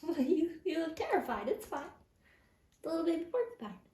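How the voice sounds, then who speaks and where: A woman at home talking softly to her pets in a hushed, gentle, reassuring way. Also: extremely high pitch, very animated, brisk, far from the mic. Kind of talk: soft talk to pets. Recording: echoey room, away from mic.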